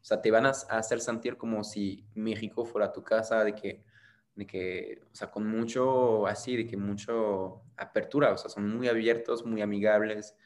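A man's voice: fairly low pitch, steady tone, moderate pace. A young French man speaking as an interview guest, rambling and hesitant with many restarts. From France. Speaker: interview guest, content creator. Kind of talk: casual conversational interview.

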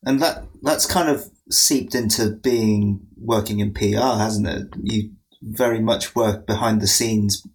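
A man hosting a podcast, asking relaxed questions and murmuring as he listens. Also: fairly low pitch, measured pace, rough recording. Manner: brief prompts and listening murmurs. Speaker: podcast host. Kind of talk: casual interview questions.

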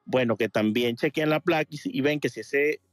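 Un hombre de Venezuela que llama a un programa en directo y explica algo con muchas muletillas y frases que vuelve a empezar. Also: male voice, mid-range voice, a little fast, no echo, clean studio sound, soft background music. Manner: rambling, many fillers and restarts. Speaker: caller. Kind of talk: casual call-in chat. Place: home in Miami Beach.

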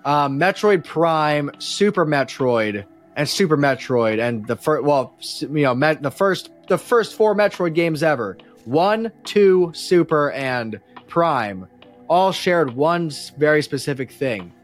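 A man's voice: fairly high pitch, expressive, slow, faint music behind. A man speaking casually as a podcast guest, giving enthusiastic, opinionated explanations that tend to ramble.

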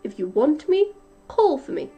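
A woman voicing a giant's dim, bossy wife who speaks briskly and scolds. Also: sits high, very animated, dark tone, dull audio, faint music behind, pitched above the performer's own voice, full character voice.

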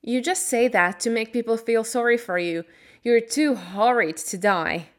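A woman's voice: on the high side, a little slow, close to an everyday voice. A woman voicing a stubborn, bossy young girl in a storybook, speaking crossly and bluntly. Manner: crossly spoken, blunt, scolding.

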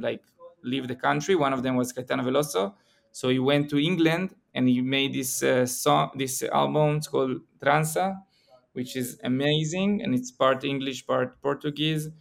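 A young man with an Israeli accent, a podcast guest chatting casually, halting and restarting often. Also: medium pitch, gravelly. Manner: halting, many restarts and fillers.

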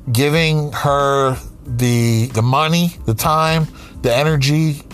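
A man around forty speaking slowly and candidly, pausing and restarting as he thinks aloud. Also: medium pitch. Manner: slow, halting, with many restarts and pauses. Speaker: aspiring writer, comedian and podcaster. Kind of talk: reflective personal monologue.

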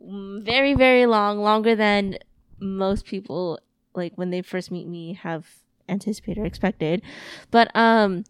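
A woman's voice: mid-range voice, unhurried, smooth, no room sound. A woman chatting casually on a podcast, rambling with many fillers and restarts. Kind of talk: casual chat.

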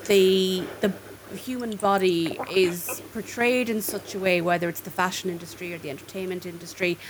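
A woman's voice: medium pitch, a bit bright, a little hiss or hum. A woman interviewing an artist, admiring and searching for words as she asks her questions. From Ireland. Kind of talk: conversational interview.

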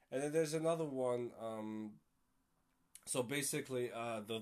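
Rambling young male podcast host. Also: medium pitch. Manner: rambling, swears casually, many fillers. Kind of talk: casual solo podcast chat.

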